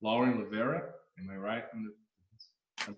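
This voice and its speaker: A man's voice: lowish voice, fairly even intonation, reverberant, dull audio, slight background noise. A man from Brazil with a Brazilian Portuguese accent, hosting an interview in English and stopping often to find his words. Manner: halting, searching for words. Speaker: interviewer, channel host. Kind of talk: casual interview.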